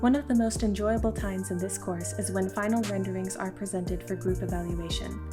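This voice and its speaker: A woman delivers scripted narration for a showcase. Her voice is mid-range, and her delivery is measured and formal. Music plays clearly behind her. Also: mid-range voice, steady tone. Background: background music.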